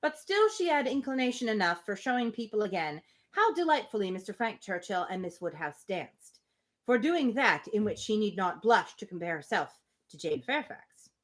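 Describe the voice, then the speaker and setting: A woman's voice: medium pitch, sing-song, normal speed. A woman hosting a live novel reading, moving between read-aloud prose and playful, conversational commentary.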